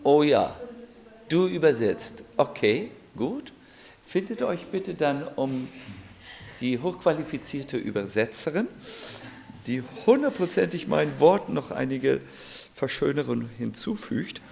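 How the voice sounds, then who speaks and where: A man, a spiritual teacher, giving an informal, gently joking talk to a seated audience in a room. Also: on the high side, big pitch swings, vocal fry. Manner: unhurried, gently joking, thinking aloud. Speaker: spiritual teacher leading an evening gathering. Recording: live audience heard around the speaker.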